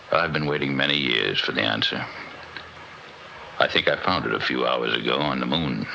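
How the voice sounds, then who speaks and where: Grave, gravelly, very deep-voiced old scientist. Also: male voice, pushed and bright, full character voice. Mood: grave, brooding. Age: older. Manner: portentous, deliberate, dramatic storytelling. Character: older male atomic scientist, grave, haunted, philosophical space traveler. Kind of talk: 1960s radio drama acting. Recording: dull, noisy old radio recording.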